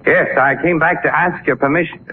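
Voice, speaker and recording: Actor as probing 1940s radio newshound, muffled recording. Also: male voice, on the high side, steady tone, normal speed, slight background noise, lightly acted. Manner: casual, clipped, probing. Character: sharp, persistent newspaper photographer sleuth, adult man. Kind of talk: 1940s radio drama acting.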